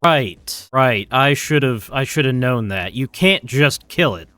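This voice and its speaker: A man's voice: mid-range voice, expressive, takes it slowly, sharp tone, no echo. A man thinking aloud in casual, rambling, self-deprecating commentary as he live-streams his programming. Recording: music playing behind the voice.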